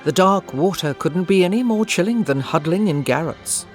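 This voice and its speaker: A woman narrates a historical audio drama in a literary, scripted style. Her voice is low for a woman, and music plays underneath. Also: expressive, normal speed, a little mellow.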